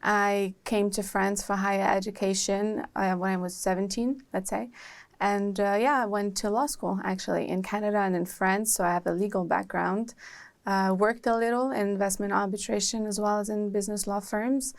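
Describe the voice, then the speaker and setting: A woman's voice: mid-range voice, steady tone, normal speed. A young woman student from Morocco answering interview questions in an articulate, composed way.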